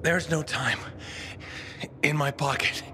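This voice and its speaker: Slow, grave man in urgent straits. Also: a little high. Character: urgent, weakened man entrusting a vital key. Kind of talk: video game cutscene acting. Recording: clear ambient noise behind voice.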